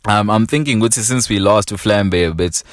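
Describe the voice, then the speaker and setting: Man, South African accent, lowish pitch, casual slangy interviewer, podcast host, very dry recording. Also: a little fast, no echo. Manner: slangy, reacting and prompting.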